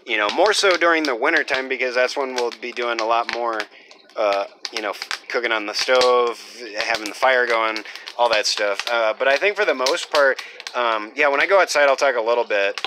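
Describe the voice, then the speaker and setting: Young male homesteader, casual vlog rambling, even-toned, noisy camera audio. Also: mid-range voice, moderate pace. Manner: rambling, lots of fillers, self-described monotone. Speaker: homesteader vlogger. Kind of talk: casual off-grid build vlog. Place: half-built earthship and outdoor rooftop.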